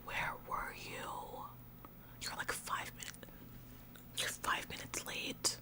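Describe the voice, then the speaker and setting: Female performer as self-important female store clerk, ASMR roleplay. Whispered, smug, nagging, halting and repetitive. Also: natural voice. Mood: stressed, nagging. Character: bossy, officious female store employee, smug tattletale.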